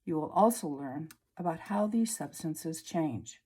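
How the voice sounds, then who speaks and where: A woman's voice: sits low, lively intonation, dark tone. Woman reading a science book aloud. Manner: slow, clear storybook reading. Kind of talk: read-aloud lesson for children. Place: at a table indoors, reading printed pages.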